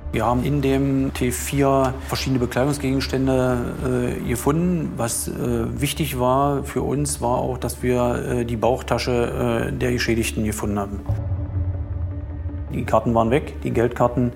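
Male cop being interviewed, creaky voice, halting official phrasing, music behind him. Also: mid-range voice, fairly even intonation, a little mellow. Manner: halting, matter-of-fact, official wording. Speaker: police investigator interviewed. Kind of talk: documentary interview statement. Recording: music laid under interview.

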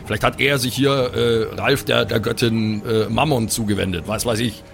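A man's voice: medium pitch, steady tone, moderate pace, creaky, a bit bright, soft background music. A man improvising in a pen-and-paper audio play, switching between in-character banter and casual table talk, wry and playful, with the odd English phrase.

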